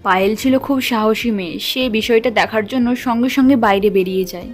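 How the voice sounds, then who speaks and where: Woman narrating over clear background music. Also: a little high, fast talker. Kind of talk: scripted children's story narration.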